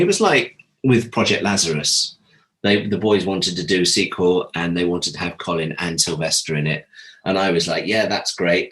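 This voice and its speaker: A man talking casually as an interview guest, an animated storyteller who recounts anecdotes and acts out remembered conversations. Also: deep voice. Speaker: interview guest, audio drama producer and director.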